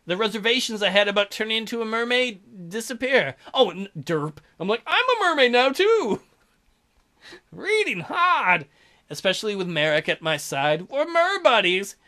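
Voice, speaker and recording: A man's voice: sits high, very animated, moderate pace, bright, forceful tone. A man hosting a let's play, reading a visual novel aloud and breaking in with crude, mocking jokes.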